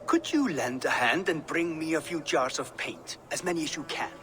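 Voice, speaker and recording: A man's voice: fairly high pitch, moderate pace, very noisy, lightly acted. An actor playing an eager, self-assured builder and rune magician in a video game, explaining his craft. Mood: absorbed, confident. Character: enthusiastic, skilled male builder and rune magician. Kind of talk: video game cutscene acting.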